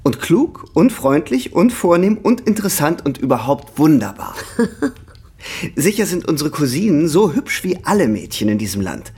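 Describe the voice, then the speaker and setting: An actor playing a cheerful, carefree bohemian artist of almost thirty in a German radio drama, buoyant, teasing and husky. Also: male voice, on the high side, big pitch swings, moderate pace, a little mellow, exaggerated voice. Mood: teasing, enthusiastic.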